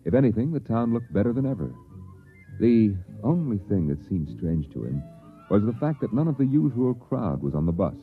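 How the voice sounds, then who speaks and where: A man's voice: lowish voice, big pitch swings, normal speed, soft background music. A man reading scripted narration for a 1950s radio drama. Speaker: story narrator. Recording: muffled, narrow vintage radio recording.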